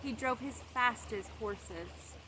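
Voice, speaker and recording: A woman's voice: on the high side, slow pace. A woman reading a Bible story aloud for children, explaining it simply and repeating phrases. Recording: clear steady background noise.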